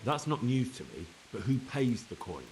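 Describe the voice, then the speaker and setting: A man's voice: medium pitch, very animated, normal speed, darkish tone, soft background music, low-quality recording. An actor playing a persistent young investigator in a video game drama, direct and businesslike as he questions. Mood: firm, probing. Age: young adult.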